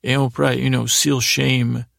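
A man with an extremely gravelly, creaky voice at a middling pitch talks in a very dry, close space. He hosts a bedtime podcast, meandering and halting as he goes. His delivery is self-deprecating and loosely strung together. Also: little pitch movement, fairly bright tone, no room sound. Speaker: podcast host. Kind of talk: rambling bedtime monologue.

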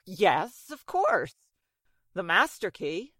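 A woman's voice: lowish voice, very animated, takes it slowly, a bit bright, husky, exaggerated voice. A woman playing a fussy, proper and polite apartment building manager in an old-style radio drama. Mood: firm, reluctant. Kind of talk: 1940s radio drama acting.

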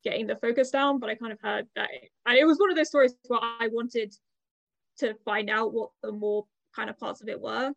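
A woman's voice: on the high side, steady tone, fairly quick, vocal fry, no room sound. A young woman co-hosting a podcast in informal, rambling chat, on a slightly dull lo-fi recording. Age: young adult. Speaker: podcast co-host. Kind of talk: casual chat. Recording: slightly dull, lo-fi microphone sound.

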